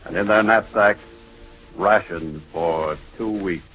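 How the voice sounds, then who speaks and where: A man playing the solemn narrator of a historical 1940s radio drama, grave, measured and portentous. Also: sits low, breathy edge, faint music behind, full character voice. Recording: muffled 1940s radio recording.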